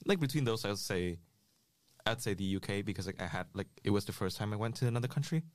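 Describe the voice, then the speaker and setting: Young man from Vietnam, lowish smooth voice, halting and casual, dry close sound. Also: lively intonation, no room sound. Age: young adult. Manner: hesitant, many fillers and restarts. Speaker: podcast guest. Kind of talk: casual group chat.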